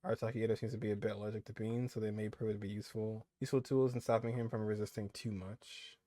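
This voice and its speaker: A man's voice: on the low side, flat delivery, fast talker, mellow, dry recording. A man giving casual gameplay commentary as a host, reacting aloud in an informal way. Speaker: gaming video host.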